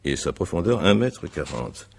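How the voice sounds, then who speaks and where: A man playing an examining magistrate in a radio mystery, courteous but insistent, with formal, deliberate phrasing. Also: rumbling low, big pitch swings, vocal fry, slightly rough, full character voice. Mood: methodical, probing. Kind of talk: French radio mystery drama acting.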